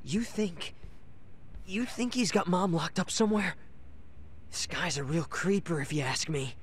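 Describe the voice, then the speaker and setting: An actor playing an excitable, slangy young punk in a video game. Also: male voice, high-pitched, unhurried, a little hiss or hum. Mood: suspicious.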